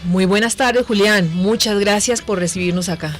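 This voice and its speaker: A woman's voice: mid-range voice, normal speed, soft background music. A Colombian woman giving explanatory answers as a voice-training expert in a radio interview. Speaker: voice center director, guest. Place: radio station booth.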